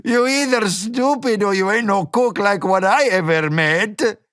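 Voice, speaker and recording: A man's voice: sits high, a little slow, fairly bright tone, no echo, clean studio sound, voice pushed up. A man putting on a fat, mocking diner boss. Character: fat blustering Mexican diner owner, mocking.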